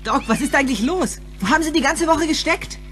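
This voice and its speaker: A man's voice: extremely high pitch, fast talker, fairly bright tone, soft background music. An actor playing an impulsive, self-doubting teenage boy in a German radio play, with breezy youthful speech. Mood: agitated.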